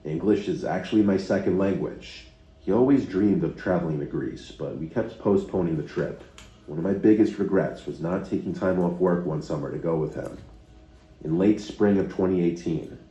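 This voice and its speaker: Deep dark male narrator, steady scripted storytelling, muffled roomy playback. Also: normal speed. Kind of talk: scripted first-person narration.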